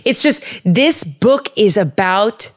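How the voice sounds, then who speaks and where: A young woman hosting a podcast, chatting in a bubbly, animated way and laughing often. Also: mid-range voice, takes it slowly. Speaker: podcast host. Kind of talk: casual chat about the Bible.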